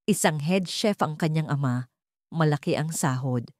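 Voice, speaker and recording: Low-voiced, mellow woman narrating a story. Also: normal speed, no room sound, clean studio sound. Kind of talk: scripted dramatic audio story narration.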